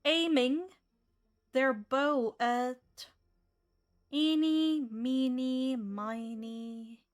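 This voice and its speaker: A woman's voice: high voice, steady tone, very slow, smooth. A woman acting as game master, narrating and refereeing a tabletop role-playing game.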